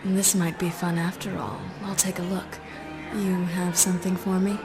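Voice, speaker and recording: An actress playing a cool, sardonic half-vampire huntress in a video game, dry and confident in manner. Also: female voice, fairly low pitch, little pitch movement, moderate pace, close to an everyday voice. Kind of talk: video game cutscene acting. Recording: music behind the voice.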